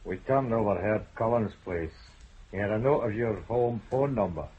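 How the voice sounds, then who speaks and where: An actor playing a hesitant detective reporting to his boss, halting and careful. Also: fairly low pitch, husky. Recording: dull old radio broadcast with faint noise.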